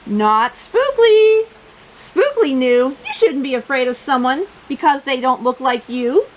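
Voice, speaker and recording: A woman hosting a children's storytime, reading a picture book in a playful, sing-song, expressive way and talking directly to young listeners. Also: high voice, unhurried, poor audio.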